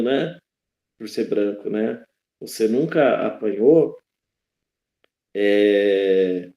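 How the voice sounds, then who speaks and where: Mellow Brazilian man in an echoey room. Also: medium pitch. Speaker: history teacher, panel speaker. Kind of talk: informal panel talk. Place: indoors, speaking in an online live stream. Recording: roomy, echoey sound.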